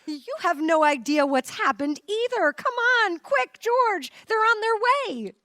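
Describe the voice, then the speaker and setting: An actress playing a loving, excited wife and mother in a live old-time radio play. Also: very high-pitched, unhurried, no room sound, studio quality, exaggerated voice. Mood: relieved, urgent.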